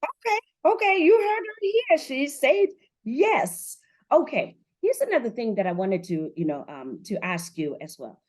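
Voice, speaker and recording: A woman's voice: sits high, very animated, unhurried, a little mellow. A woman from Zimbabwe with a Zimbabwean accent, hosting a podcast in a chatty, effusive way, often addressing listeners directly. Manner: effusive, addresses listeners directly, checks with "right" and "you know". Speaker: podcast host.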